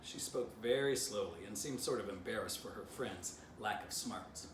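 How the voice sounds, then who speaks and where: A man, a children's author, reading his storybook aloud in expressive narration. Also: on the low side, moderate pace. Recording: distant mic, roomy, background noise.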